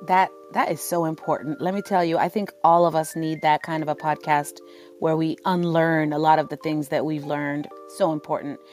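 A woman from Sudan moderates a live audio chat in a conversational style. Her voice sits a little low, and she speaks informally and affirmingly, with faint music behind her. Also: fairly even intonation, moderate pace, darkish tone. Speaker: panel moderator, journalist and podcast host. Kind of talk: conversational live panel hosting.

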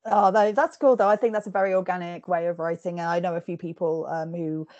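A woman's voice: lowish voice, fairly even intonation, quick pace, darkish tone, no echo, dull audio. A woman hosting an interview, talking casually with frequent giggles, fillers and restarts over a remote call. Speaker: podcast host interviewing an author.

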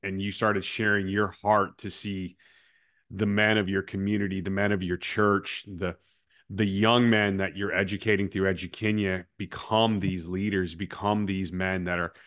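A man with a fairly low voice hosts an interview podcast. He talks conversationally and earnestly, with a motivational, almost preacherly way of making his points. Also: flat delivery, moderate pace, fairly bright tone. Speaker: podcast host and coach.

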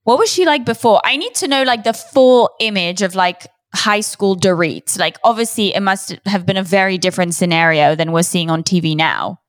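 A woman in her thirties hosts a casual podcast chat in a mixed accent that sounds British to some ears. She swears freely and fires off eager, nosy questions. Her voice sits at a middling pitch, recorded dry and close with no room sound. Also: moderate pace, hardly any pauses, dry recording. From outside the US. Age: 30s. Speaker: podcast host.

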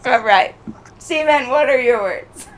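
A young adult woman in casual, joking podcast chat, talking in an informal and animated way. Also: high voice, a little slow, a little hiss or hum. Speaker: podcast guest and occasional co-host.

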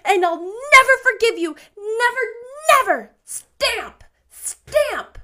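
A woman's voice: very high-pitched, expressive, takes it slowly, sharp tone, pitched above the performer's own voice, exaggerated voice. A woman voicing a hurt, furious young orphan girl in a storybook, with passionate, choked outbursts. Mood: tearful indignation.

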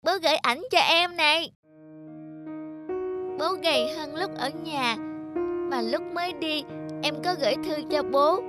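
A performer voicing a small, innocent, wistful child in an animated folk tale, polite and earnest in manner. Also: sits high, a little slow, long pauses, over music.

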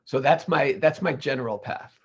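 A man's voice: medium pitch, normal speed, slightly rough, highs cut off. A man being interviewed about his career, talking in a thoughtful way with many restarts and self-corrections. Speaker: interview guest, legal English lecturer. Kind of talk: relaxed conversational interview.

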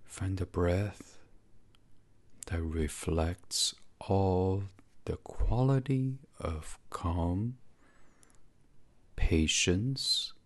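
A man leading a guided sleep meditation in a hushed, breathy, unhurried voice that often slips toward a whisper, soothing and instructional. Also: sits low.

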